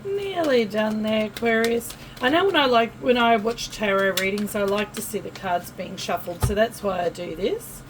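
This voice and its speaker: An Australian woman giving a casual tarot reading to camera, chatty and friendly, often pausing with fillers and restarting. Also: medium pitch, background noise. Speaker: tarot reader.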